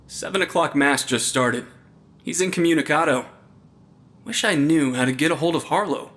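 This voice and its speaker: A man's voice: on the high side, moderate pace, fairly bright tone, close to an everyday voice. A young man playing a wry, nerdy, jittery investigator in a supernatural comedy audio drama, with dry, quippy banter. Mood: wry, intrigued. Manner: dry, quippy, slightly pompous.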